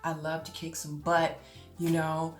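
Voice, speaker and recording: A woman in her late forties talking casually to camera as a vlogger, chatty and often laughing, with drawn-out exclamations. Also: deep voice, completely flat delivery. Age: 40s. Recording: echoey room with music behind.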